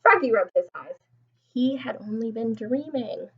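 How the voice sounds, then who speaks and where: Animated, high-voiced woman reading a storybook. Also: measured pace, breathy edge, lo-fi sound. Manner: expressive, explains and asks child-directed questions. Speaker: teacher reading aloud to young children. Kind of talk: animated storybook read-aloud with questions to listeners.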